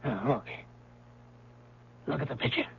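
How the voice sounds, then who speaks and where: Folksy old guard, very husky and creaky, slightly high, ungrammatical and insistent, thin vintage radio sound. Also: male voice, unhurried, heavily acted. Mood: spooked urgency. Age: older. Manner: folksy, ungrammatical, hushed urging. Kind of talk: 1970s radio drama acting. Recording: thin narrow-band vintage radio recording.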